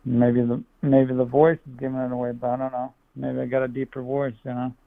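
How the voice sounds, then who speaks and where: Gravelly young man on a tinny phone line. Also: medium pitch, little pitch movement, brisk, no room sound. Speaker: person on the other end of a call. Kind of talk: casual phone chat.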